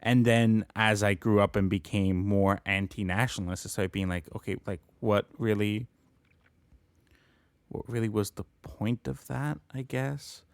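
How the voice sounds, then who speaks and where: A man's voice: lowish voice, unhurried, dry recording. A man co-hosting a podcast, chatting casually in a rambling way. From born in Colombia, grew up in Canada. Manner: rambling, peppered with "like". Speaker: podcast co-host. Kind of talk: casual chat.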